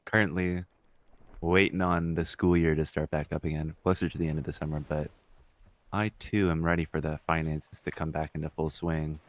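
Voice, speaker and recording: A man's voice: very deep voice, little pitch movement, normal speed. A young man co-hosting a podcast in casual chat, talking in a slangy, mildly profane way. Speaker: podcast co-host.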